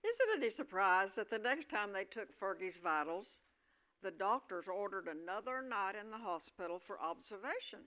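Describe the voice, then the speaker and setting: A woman's voice: mid-range voice, big pitch swings, normal speed, a bit bright. A woman reading scripted comic narration for a staged play, in a mid-range voice.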